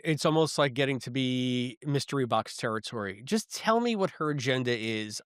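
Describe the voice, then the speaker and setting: A man co-hosting a podcast, casually reviewing and discussing, with animated, halting delivery and emphatic repetition. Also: mid-range voice, no room sound, clean studio sound. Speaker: podcast co-host. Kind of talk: casual review discussion of a sci-fi audio drama.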